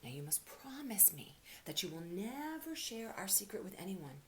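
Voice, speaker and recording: Gruff, stern old male storybook rabbit, played by a woman; audible hiss behind the voice. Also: medium pitch, big pitch swings, moderate pace, natural voice. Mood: stern, instructive. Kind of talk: children's storybook character voice.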